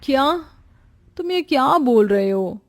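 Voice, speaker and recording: A woman's voice: high voice, lively intonation, measured pace. A woman voicing a young, put-upon new bride who complains and protests plaintively in a cartoon story. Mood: disbelieving.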